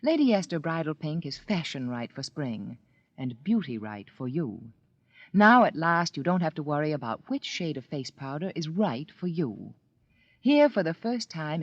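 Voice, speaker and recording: A woman's voice: sits low, a little mellow, no room sound. A woman reading a 1940s radio commercial in a cooing, persuasive way. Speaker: commercial announcer. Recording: dry, dull old radio broadcast.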